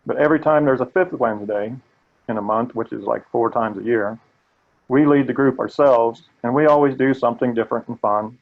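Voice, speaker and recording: An older man with a gravelly voice walks listeners through a training webinar. He speaks in an earnest, steady way and sometimes restarts a phrase. The audio is dull and lo-fi, like a video call. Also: medium pitch, brisk. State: gravelly voice today. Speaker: webinar presenter. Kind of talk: presenting a live training webinar.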